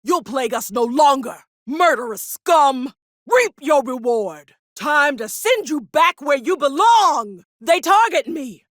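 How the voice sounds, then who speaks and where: Sardonic frontier officer, very animated. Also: female voice, high voice, slow pace, no room sound, full character voice. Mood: angry, threatening, then commanding, alert. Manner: folksy old-fashioned ornate phrasing, dry and haughty. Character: drawling, sardonic, bloodthirsty female frontier corporate officer. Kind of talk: video game character dialogue.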